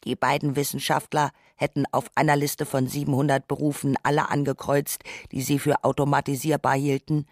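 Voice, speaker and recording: A man's voice: mid-range voice, level tone, brisk, few pauses, no echo. A man narrating a scripted radio essay, arguing his points with dry irony.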